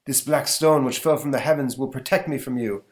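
Male reader doing a loud, vengeful tyrant with a threatening tone. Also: medium pitch, brisk, pitched above the performer's own voice, natural voice.

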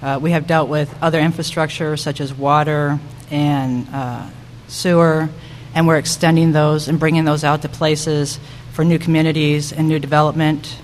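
Woman, local politician, halting unpolished forum delivery, long room reverb and light background noise. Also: deep voice, flat delivery. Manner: halting, searching for words. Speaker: city council member and candidate. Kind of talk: semi-improvised campaign remarks at a public forum. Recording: echoey hall with background noise.

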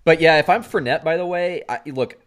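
A middle-aged man from Central Florida co-hosting a casual sports podcast, animated, going from loud outbursts to muttered asides. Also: on the high side, fairly quick. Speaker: podcast co-host.

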